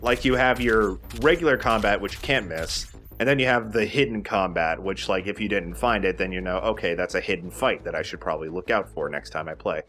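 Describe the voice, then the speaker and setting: A man's voice: low-pitched, a little fast, hardly any pauses, a bit bright. A man giving casual, chatty commentary as he explains a video game. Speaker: let's play host.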